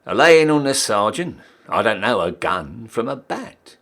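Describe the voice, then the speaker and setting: Man acting a cheeky old-style British soldier, wildly animated. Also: lowish voice, unhurried. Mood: rueful, defiant. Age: young adult. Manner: theatrical, emphatic, swooping delivery. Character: cheeky down-at-heel ex-soldier re-enlisting, Victorian British army ranker. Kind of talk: dramatic poetry recital.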